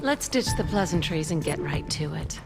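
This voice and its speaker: Female game spy, cool, cunning, low-pitched, slow deliberate delivery, restrained menace, strong musical score behind. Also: lively intonation, vocal fry, loud music behind the voice. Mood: calm menace. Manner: measured, deliberate, coolly menacing. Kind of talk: cinematic video game voice acting.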